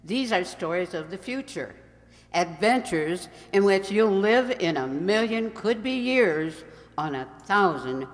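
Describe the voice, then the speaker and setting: A man playing a portentous, formal announcer of an old-time science fiction radio series. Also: high voice. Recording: reverberant hall.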